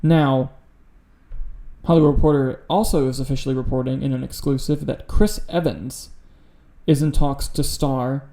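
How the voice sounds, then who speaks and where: A man's voice: mid-range voice, steady tone, moderate pace, vocal fry, dark tone. A man co-hosting a podcast, chatting casually about film news in an opinionated, sweary, informal way. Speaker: podcast co-host.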